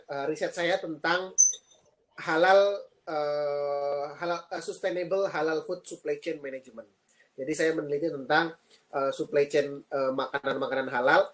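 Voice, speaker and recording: A man in his thirties from Indonesia, a guest on a live-streamed interview, explaining things earnestly with frequent pauses. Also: fairly high pitch, fairly even intonation, low-quality recording. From Lampung, Indonesia. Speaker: guest, PhD student and lecturer.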